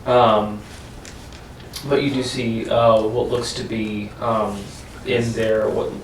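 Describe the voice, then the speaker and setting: Slow, halting male game master. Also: on the low side, little pitch movement. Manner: halting, pausing mid-description. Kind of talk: improvised narration of a tabletop game. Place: echoey room, live-streamed game session. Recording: roomy, distant mic, noisy background.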